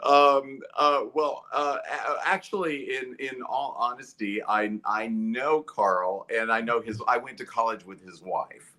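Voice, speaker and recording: A man from Kentucky with an American accent, talking casually and thoughtfully as an interview guest in a measured way. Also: medium pitch, bright, forceful tone.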